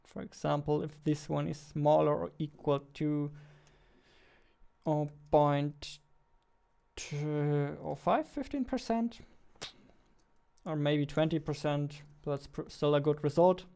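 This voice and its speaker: A man in his twenties talking casually as a game developer while coding, halting as he works at his desk. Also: on the high side, vocal fry, warm tone, smooth. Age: 20s. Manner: halting while typing, reads text aloud slowly. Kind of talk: casual narrated coding livestream or devlog. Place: indoors, at a computer desk. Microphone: studio mic on boom arm, close.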